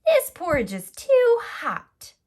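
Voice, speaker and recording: Storybook little girl, played by a woman: high-pitched, animated, fussy and curious. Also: full character voice. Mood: picky, grumbly. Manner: drawn-out, exclaiming complaints.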